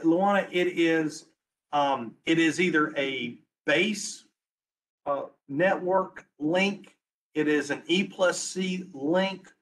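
Man, slightly high voice, losing his voice, halting webinar talk, video call from a small room. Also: fairly bright tone, poor audio. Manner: halting, restarts words, searches for phrasing. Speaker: transportation planner presenting. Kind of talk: webinar presentation.

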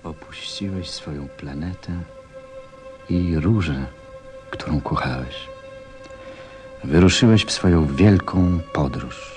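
A man playing a grave, gentle narrator and confidant, telling a story in a measured, reflective way. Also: low voice, over music. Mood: solemn storytelling.

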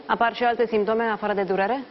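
A woman's voice: fairly high pitch, fairly even intonation, highs cut off. Young woman presenting morning news. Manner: clear, scripted questions. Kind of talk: live TV interview. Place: TV studio.

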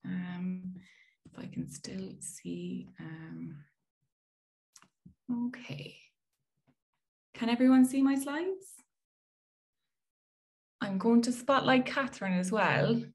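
A woman's voice: mid-range voice, animated, slow pace, gravelly, long pauses. A woman in her thirties from Ireland with an Irish accent, giving a friendly, chatty research talk over a video call with frequent fillers. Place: indoor room at home.

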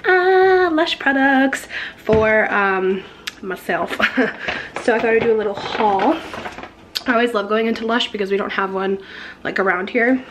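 Hoarse, chatty young woman vlogging. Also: fairly high pitch, noisy background. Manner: chatty, giggly, filler-heavy. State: hoarse, losing her voice. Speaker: beauty vlogger. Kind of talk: casual vlog chat. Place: home kitchen. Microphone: handheld camera at arm's length.

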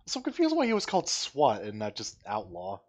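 A man's voice: fairly low pitch, wildly swooping pitch, a little fast, slightly rough. A man chatting casually as co-commentator, reacting to video game cutscenes. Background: game cutscene music.

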